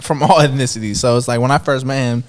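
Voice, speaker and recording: A man speaking casually as a podcast guest, his voice mid-pitched for a man. He rambles and restarts often, padding his sentences with fillers. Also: fairly even intonation, fast talker. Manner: rambling, repeats himself, full of fillers. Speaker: music producer and label owner.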